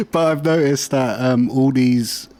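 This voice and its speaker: A man's voice: mid-range voice, expressive, takes it slowly, darkish tone. A man chatting casually and enthusiastically, swearing freely. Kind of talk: casual chat.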